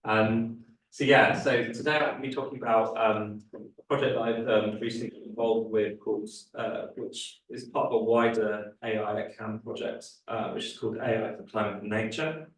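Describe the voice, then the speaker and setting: A young man delivers a seminar talk in a low, flat voice, stopping often on fillers and restarts. His voice is a little worn, as if he is losing it. He sounds far from the mic in a very echoey room. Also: moderate pace, slight background noise. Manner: hesitant, many fillers and restarts. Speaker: guest speaker. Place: lecture room.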